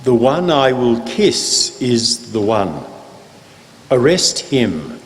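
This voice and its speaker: A man reading stern, ominous biblical villains in a deliberate, commanding dramatic scripture reading. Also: medium pitch, big pitch swings. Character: stern, ominous betrayer and high priest. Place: church. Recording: echoey church hall, background noise.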